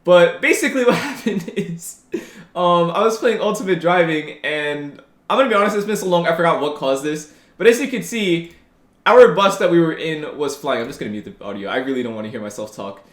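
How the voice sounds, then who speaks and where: Young male YouTuber, 19, lively high-ish voice, rambling casual chat, echoey room. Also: a little fast. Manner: rambling, candid, lots of fillers and restarts. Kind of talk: casual vlog commentary. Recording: roomy echo.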